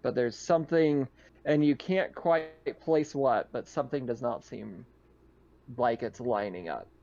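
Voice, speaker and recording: Halting man with a low-pitched voice. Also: a little mellow, lo-fi sound. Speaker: game master. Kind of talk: narrating a tabletop roleplaying game, casual.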